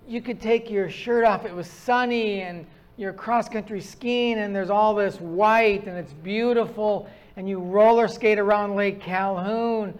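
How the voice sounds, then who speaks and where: A middle-aged man with a northern US accent, a pastor from the Upper Midwest giving a sermon and telling stories with self-mocking humor. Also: high-pitched.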